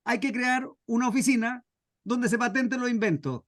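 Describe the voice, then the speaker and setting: A man's voice: very high voice, normal speed, a bit bright, voice pushed up. A man with a Chilean accent voicing an insistent, exasperated inventor who pleads in circles in a workshop anecdote. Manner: insistent, circular, repetitive pleading. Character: insistent, exasperated male inventor arguing at a counter.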